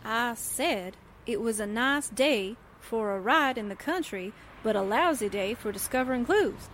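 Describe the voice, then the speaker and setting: Lady doing a slangy wisecracking girl reporter, old radio play style, highish voice, rain and car noise behind her. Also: lively intonation, measured pace, a bit bright. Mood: wry, grumbling. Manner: wry, slangy quips. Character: wisecracking, slangy newspaperwoman sidekick, brassy and grumbling. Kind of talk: 1940s-style radio drama acting. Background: rain and vehicle sounds.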